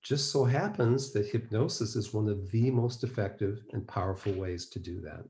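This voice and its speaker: Low-voiced man lecturing in an echoey room. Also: normal speed, mellow. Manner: explanatory, uses rhetorical questions. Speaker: presenter, mind-body medicine practitioner. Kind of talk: conversational webinar lecture. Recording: roomy, echoing webinar audio.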